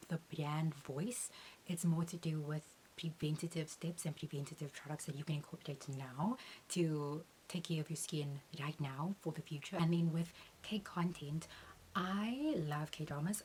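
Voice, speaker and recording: A young adult woman, a beauty content creator, answering Q&A questions about her channel in an earnest, measured way. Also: sits low, a little mellow, slight background noise, low-quality recording. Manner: earnest, measured, uses "um" between points.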